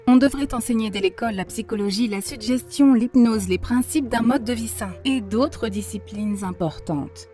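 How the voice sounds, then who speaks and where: Woman acting earnest female mentor, soft mellow voice. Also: mid-range voice, natural voice. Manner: measured, instructive, earnest. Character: female mentor earnestly lecturing on child psychology. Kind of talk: dubbed cartoon dialogue, didactic monologue. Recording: music behind the voice.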